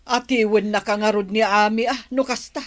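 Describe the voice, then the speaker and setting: A woman's voice: mid-range voice, steady tone, normal speed, natural voice. An actress with a Filipino accent playing a scolding, exasperated mother in a radio drama. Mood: reproachful. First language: Ilocano.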